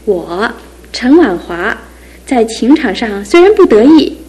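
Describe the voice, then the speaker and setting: Playful young sister, radio drama, bright and teasing, fairly high voice. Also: female voice, sing-song, slow pace, exaggerated voice. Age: young adult. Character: lively young woman, groom's younger sister. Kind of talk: 1970s radio drama acting.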